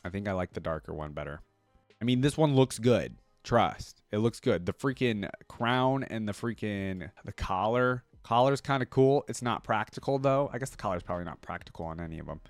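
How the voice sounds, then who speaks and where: A young man with a fairly low voice chats away in a relaxed, upbeat way, calling his viewers "guys" and leaning on casual slang. The sound is dry and close, with no room echo. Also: normal speed, soft background music. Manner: casual slang, repeats freaking. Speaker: reaction video host. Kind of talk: casual reaction commentary.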